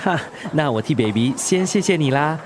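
A man's voice: a little high, lively intonation, moderate pace, lightly acted. An actor playing a good-natured, hardworking expectant father in a radio drama, easygoing and chatty. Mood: cheerful, content. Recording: clear street and traffic noise.

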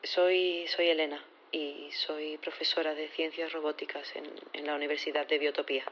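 Casting: nervous female professor testifying, played by an actress; lowish voice, halting and unsure, naturalistic audio drama, slightly dull sound. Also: little pitch movement, lightly acted. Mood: nervous, tender. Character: nervous, hesitant female science professor on witness stand. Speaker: actor in audio fiction.

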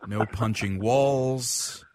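A man's voice: medium pitch, drawn-out words, a little mellow, slight background noise. An American man co-hosting casual sports talk radio with a joking, bantering manner.

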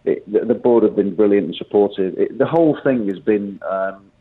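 A football manager giving a phone interview, talking in halting runs with many restarts and fillers, heard through a tinny phone line. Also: male voice, lowish voice, husky.

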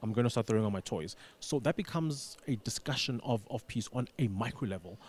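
A male studio guest gives explanatory answers in a radio interview. He is deliberate, often repeating a word or phrase while he forms the next point. His voice has an average male pitch. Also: lively intonation, darkish tone.

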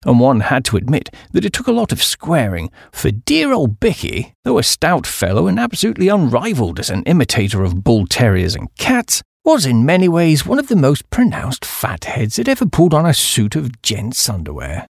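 A man's voice: mid-range voice, wildly swooping pitch, moderate pace, gravelly, hardly any pauses, husky, no room sound, studio quality. A man with a British accent narrating a comic first-person story in a breezy, upper-class manner. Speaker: audiobook narrator.

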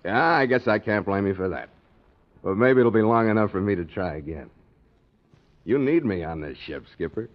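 An actor playing a pushy, wheedling sailor in a 1940s radio drama, cajoling and needling. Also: male voice, fairly low pitch, lively intonation, moderate pace, lightly acted. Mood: needling, persistent. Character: pushy, wheedling male sailor seeking a berth. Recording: dull old radio recording.

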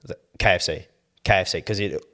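A man's voice: sits low, a little fast, pushed and bright. A man, rambling in casual podcast chat, full of fillers and swearing. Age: 20s. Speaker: podcast co-host.

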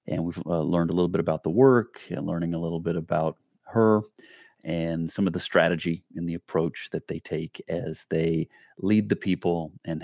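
Very deep-voiced male podcast host. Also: a little mellow, no room sound, clean studio sound. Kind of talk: interview podcast hosting.

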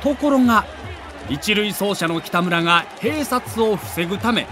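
A man's voice: sits high, expressive, measured pace, a crowd in the background. A man narrating a scripted sports documentary in a dramatic, emphatic manner.

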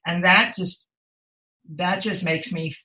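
A woman's voice: fairly low pitch, fairly even intonation, takes it slowly, sharp tone. An older woman giving reflective, earnest answers as a guest in a conversational interview, repeating words for emphasis.

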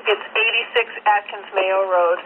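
A woman's voice: mid-range voice, fairly even intonation, moderate pace. A woman working as a fire dispatcher, making formal, procedural emergency announcements over two-way radio and repeating key details. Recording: tinny, noisy emergency scanner radio.

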